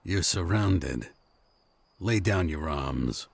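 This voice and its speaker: A man's voice: deep voice, lively intonation, drawn-out words, gravelly, no echo, exaggerated voice. A man playing a scheming, theatrical bandit ringleader in a fantasy visual novel. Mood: menacing, smug. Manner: theatrical, pleading then threatening. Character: scheming bandit ringleader posing as frantic merchant.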